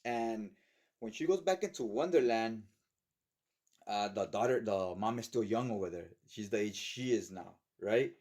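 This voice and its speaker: A man's voice: fairly low pitch, moderate pace, a little mellow. A man giving a casual, unscripted video overview as a comic collector, chatty and informal, often checking in with a tag question. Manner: chatty, informal, often tags sentences with "right?". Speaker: comic book collector presenting a reading guide.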